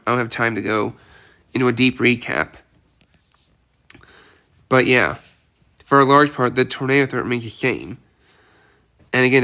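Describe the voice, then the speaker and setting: A man's voice: medium pitch, pushed and bright, clean tone. A man with a cold and a stuffy, congested voice, hosting a casual weather outlook update and rambling with frequent restarts and repetition. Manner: rambling, repeats himself with many restarts. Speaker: weather video host.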